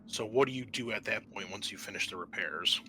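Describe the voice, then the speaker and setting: A man narrating a tabletop roleplaying game as game master, pausing often mid-sentence. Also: lowish voice, pushed and bright, faint music behind.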